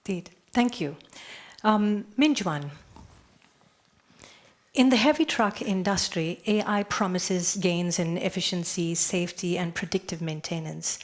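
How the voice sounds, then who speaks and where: Low-pitched woman moderating a panel. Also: measured pace. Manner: polite, reads out questions.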